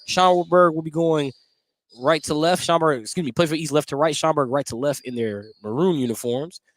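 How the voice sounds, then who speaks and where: A man's voice: fairly high pitch, moderate pace, dry recording. A man calling a live basketball game on the radio as the play-by-play announcer. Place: at courtside or press position in a gym during a game.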